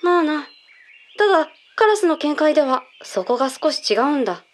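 High-voiced, blunt female agent played by an actress. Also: very animated, normal speed. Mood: assured, blunt. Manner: blunt, mannish phrasing, explanatory. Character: brisk, matter-of-fact female intelligence agent briefing a colleague. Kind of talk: Japanese radio drama acting.

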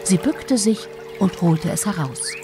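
A woman narrates a fairy tale at a middle pitch. She tells it in a measured, expressive way, with music clearly playing behind her.

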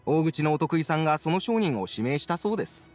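A man's voice: fairly high pitch, expressive, fast, faint music behind, natural voice. A man playing a courteous, businesslike young clerk in a video game, speaking in polite, formal phrases. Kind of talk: Japanese video game voice acting.

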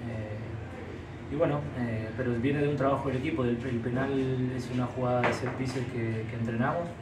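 A man answers quickly and modestly, with a few hesitations. He is off the mic in an echoey room with noise behind. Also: mid-range voice, steady tone, far from the mic. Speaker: player. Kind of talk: post-game interview answers.

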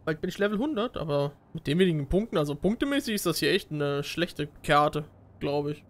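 A man's voice: a little high, lively intonation, a little fast. A man giving casual, slangy commentary while playing a video game with a friend. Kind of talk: casual gaming chat with a co-player. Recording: faint game gunfire behind voice. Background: video game gunfire and ambience.

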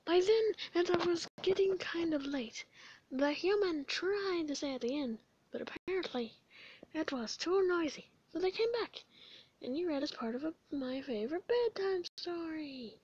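A woman voicing an earnest, caring, excitable male skeleton in an amateur comic dub, dramatic and formally phrased. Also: very high voice, moderate pace. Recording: dull, echoey room recording.

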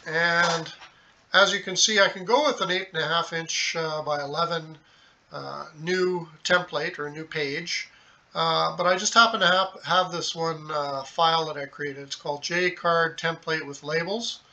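A middle-aged man giving a casual, unscripted how-to explanation, halting and thinking aloud as he goes. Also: on the high side, fairly even intonation, sharp tone. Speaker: hobbyist host of a DIY tutorial. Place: small indoor home workshop at a desk, later at a computer.

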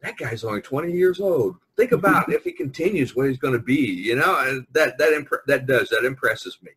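A middle-aged man with a husky voice hosting a casual group chat, talkative and often repeating and rephrasing himself. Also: medium pitch, sing-song, a little fast, fairly bright tone, slight background noise, rough recording. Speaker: podcast host. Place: online video conference call.

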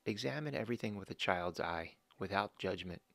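Gravelly low-voiced man guiding a meditation. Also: no room sound. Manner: slow, calm instructions with long pauses. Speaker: meditation guide. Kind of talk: guided body scan meditation.

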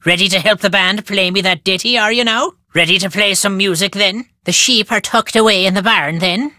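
An actress playing a gruff, suspicious countrywoman with an Irish accent and a curt, dismissive manner. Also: mid-range voice, brisk, sharp tone, no room sound, studio quality, natural voice. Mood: brusque, needling.

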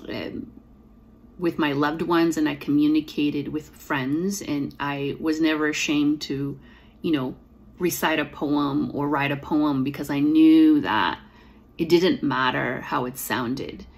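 A woman in her forties talks earnestly to a camera in a small room at home. Her voice sits deep and carries a gravelly edge, and a slight lisp colors her words. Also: fairly even intonation, slow. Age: 40s. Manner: reflective, earnest, with fillers and restarts. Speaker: poet and author. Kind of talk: personal storytelling to camera. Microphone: device camera at close framing.

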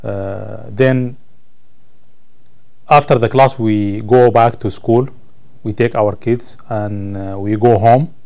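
A man in his thirties from Sudan, a native Arabic speaker with a Sudanese Arabic accent, answering interview questions as a student in halting English, searching for words. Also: on the low side, steady tone, gravelly, a little mellow. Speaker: college ESL student being interviewed. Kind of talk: interview answers about own life. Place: indoor room, seated on camera.

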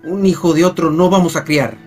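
An actor playing a stern, stubborn husband in a radio soap. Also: male voice, on the high side, fairly even intonation, brisk, faint music behind, lightly acted. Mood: angry. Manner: blunt, forceful. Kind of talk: radio soap opera acting.

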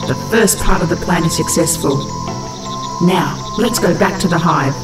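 A calculating monster henchwoman acted by a woman gives orders in a formal, commanding cartoon villain voice. It echoes under loud music. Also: on the low side, moderate pace, lightly acted. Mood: satisfied, scheming. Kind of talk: animated cartoon voice acting.

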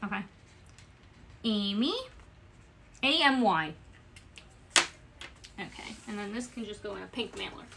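A woman's voice: medium pitch, slow pace, creaky, long pauses, very noisy. A woman with an Italian-American Bronx accent, chatting casually while packing orders on a livestream and reading out comments. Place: small business office.

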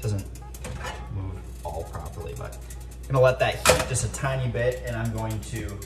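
A man's voice: lowish voice, moderate pace. Young dude rambling thru a cooking vlog in his kitchen, goofy asides, music in the background. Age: young adult. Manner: chatty, rambling, playful asides. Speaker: home cooking vlogger. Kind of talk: casual cooking vlog chat. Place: home kitchen, indoors. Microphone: camera on the counter, moving around the kitchen. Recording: clear background music under the voice.

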